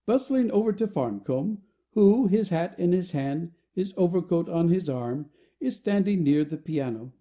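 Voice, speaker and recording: A man's voice: sits high, animated, measured pace, hardly any pauses, mellow. A man narrating an audio play, reading out scripted stage directions.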